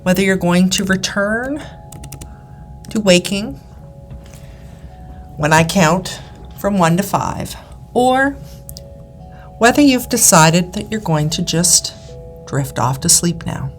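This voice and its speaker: A woman reading a scripted guided hypnosis session in a soothing, deliberate manner, with repeated phrases and pauses. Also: fairly low pitch, animated. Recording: voice over background music. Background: background music.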